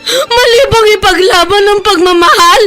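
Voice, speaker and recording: Sobbing, very high-pitched anguished young woman. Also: normal speed, pushed and bright, soft background music. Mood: anguished, tearful. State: crying. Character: anguished young woman in love, defiant and tearful. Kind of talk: melodramatic radio drama acting.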